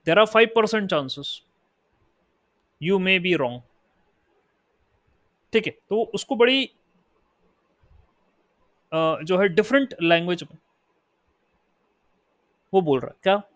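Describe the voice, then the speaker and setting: A fairly high-pitched man with an Indian accent gives a lesson, moving between Hindi explanation and slow, careful English definitions. His delivery is unhurried and deliberate. The recording is dry and close, with little room sound. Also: lively intonation, fairly bright tone, no room sound. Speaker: teacher. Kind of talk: lecture.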